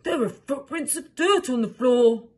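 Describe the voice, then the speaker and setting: Woman voicing a loud, fussy storybook dragon. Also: sits high, a little slow, voice pushed up. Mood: urgent. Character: smart, fussy, easily upset male dragon.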